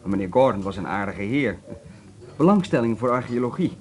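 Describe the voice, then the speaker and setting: A man's voice: mid-range voice, lively intonation, fairly quick, a little mellow, close to an everyday voice. An actor playing a courteous, deferential hotel barman in a radio drama. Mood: apologetic, reassuring. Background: music.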